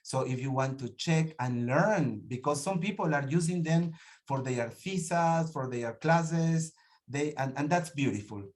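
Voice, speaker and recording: A man from Peru with a Peruvian accent, hosting an online teachers' meeting with warm, slightly formal thanks. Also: on the high side, unhurried. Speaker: meeting host, English teacher. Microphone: video call.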